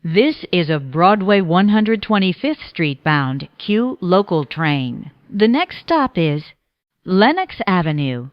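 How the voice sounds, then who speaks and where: A woman delivers formal transit announcements at a slow pace. Her pitch is a little low but moves up and down distinctly, with measured, segmented phrasing. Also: very animated, dry recording, highs cut off. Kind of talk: scripted automated train announcements.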